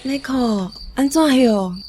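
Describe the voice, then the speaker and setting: Young innkeeper, Taiwanese accent, soft mellow tone, fairly high pitch, radio drama, faint noise. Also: female voice, takes it slowly. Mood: puzzled, sleepy. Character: young woman innkeeper woken at night.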